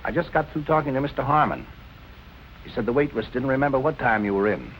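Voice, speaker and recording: An actor playing a calm police captain in 1940s film noir style, questioning in a measured, politely insistent way. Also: male voice, mid-range voice, a little hiss or hum, close to an everyday voice, poor audio.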